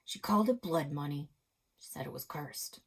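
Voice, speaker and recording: A man's voice: fairly high pitch, animated, moderate pace, gravelly, fairly bright tone, slightly rough, pitched below the performer's own voice, heavily acted. A woman voicing a cranky, blunt old grandfather in a storybook read-aloud, with folksy sarcasm. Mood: haunted, hushed.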